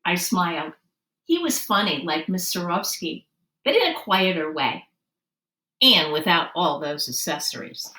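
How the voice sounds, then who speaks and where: A woman reading a children's story aloud, narrating expressively and deliberately and acting out the dialogue. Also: fairly low pitch. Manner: animated, deliberate, acts out dialogue. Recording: roomy, distant microphone.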